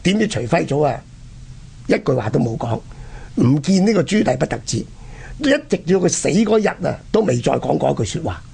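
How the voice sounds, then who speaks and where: Man, Hong Kong Cantonese accent, raspy and creaky, lively swooping delivery, dramatic history storyteller. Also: a little high. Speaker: radio history programme presenter. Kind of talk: animated conversational history storytelling.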